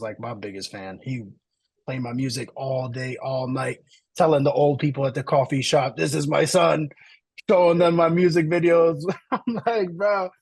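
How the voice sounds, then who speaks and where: A man's voice: mid-range voice, darkish tone, husky, no room sound. A man from Slovenia hosting a podcast, upbeat and encouraging in casual interview talk. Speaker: podcast host.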